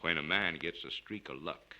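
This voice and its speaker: A man voicing a calm, reflective professional gambler in a storybook reading, speaking in a sententious, folksy way. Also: rumbling low, moderate pace, heavily acted. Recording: noisy vintage spoken-word record.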